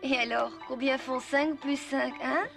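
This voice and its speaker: A woman playing a firm, patient female guardian and teacher in a French cartoon dub, speaking in an instructive, gently strict way. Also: high voice, fairly even intonation, measured pace, gravelly. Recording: music behind the voice.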